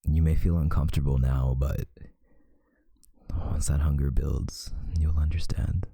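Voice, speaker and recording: Man playing a very deep, gravelly vampire lover. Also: little pitch movement, dry recording, clean studio sound, exaggerated voice. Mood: soothing, patient. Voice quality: very deep, gravelly, husky, breathy, often near a whisper. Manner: slow, hushed, soothing, deliberate pauses. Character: tender, protective male vampire lover, ancient and solemn. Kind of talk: intimate ASMR boyfriend roleplay.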